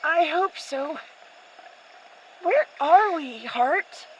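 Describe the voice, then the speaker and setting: A woman's voice: animated, very slow, heavily acted. A woman playing an anxious little boy, a younger brother, with hesitant childlike delivery in a radio play. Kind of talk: audio drama acting. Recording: muffled, very noisy background rumble.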